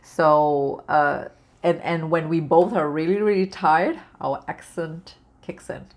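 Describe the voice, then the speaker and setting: A woman with a deep voice and a Canadian accent carrying a slight British-Australian undertone, talking casually about spiritual topics with many fillers and restarts. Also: steady tone, takes it slowly. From born outside Canada, raised in Canada. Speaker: spiritual vlogger. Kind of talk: casual spiritual talk to camera.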